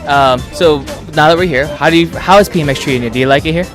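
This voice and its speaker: A man's voice: on the high side, fast talker, over music. A man interviewing someone in a loose, chatty, rambling way. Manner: loose, rambling questions with many "like"s. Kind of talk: casual chat.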